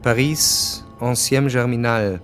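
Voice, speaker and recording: Guy narrating a radio play, medium pitch, music underneath. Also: slow. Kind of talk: scripted radio drama narration.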